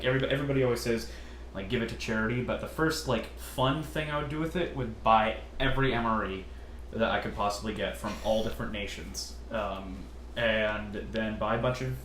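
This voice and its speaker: A man from California with a generally deep voice, hosting a casual question-and-answer chat and talking in a hesitant, easygoing way with lots of fillers. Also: fairly bright tone. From California, USA. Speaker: channel host. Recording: roomy echo, faint noise.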